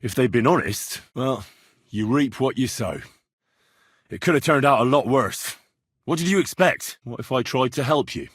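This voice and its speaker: A man's voice: mid-range voice, expressive, moderate pace, breathy edge, no room sound, clean studio sound. A man acting as a plain-spoken, righteous young medieval man in video game dialogue, direct and earnest in manner. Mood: blunt, dismissive. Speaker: voice actor.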